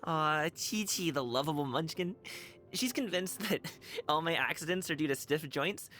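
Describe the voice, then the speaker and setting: A man voicing a friendly, energetic young wushu dancer and delivery guard in a video game, casual, slangy and easygoing. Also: fairly high pitch, normal speed, soft background music. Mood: playful, confident. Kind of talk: video game character voice lines.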